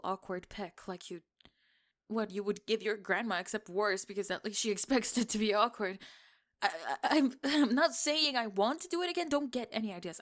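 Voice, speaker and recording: A woman acts out a young tomboy best friend in an intimate ASMR roleplay. She has a mid-range female voice and talks in a bossy, teasing way. Her halting, defensive stammer shows how flustered and secretly smitten she is. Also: mid-range voice, close to an everyday voice. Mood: defensive, bashful.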